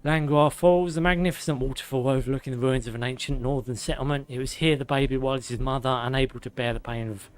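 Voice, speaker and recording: Hoarse streamer reading lore. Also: male voice, mid-range voice, steady tone, quick pace, hardly any pauses. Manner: sarcastic asides, swearing. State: hoarse, losing his voice; slightly out of breath. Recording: faint music behind.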